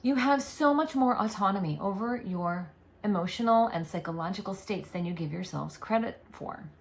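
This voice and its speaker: A woman channeling spiritual guidance, speaking as a collective "we" in a slow, deliberate, soothing way. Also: mid-range voice.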